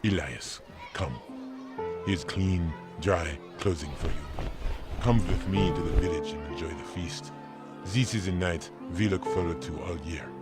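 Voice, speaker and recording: A man playing a kindly German village priest in a family audio drama, telling stories in a measured, fatherly way. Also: deep voice, loud music behind the voice. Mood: warm, reassuring.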